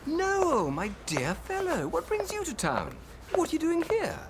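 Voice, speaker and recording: A man's voice: extremely high pitch, wildly swooping pitch, unhurried, noisy background, full character voice. Polite, flustered Englishman. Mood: surprised, cordial. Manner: hesitant, courteous, stumbling. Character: polite, awkward, easily flustered English office man. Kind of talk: period audio drama acting.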